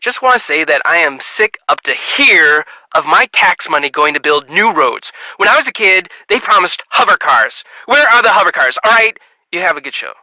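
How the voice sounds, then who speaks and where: Cranky call-in listener, high-pitched, sharp-edged, indignant and whining, game radio bit. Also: male voice, very animated, normal speed, dry recording, exaggerated voice, low-quality recording.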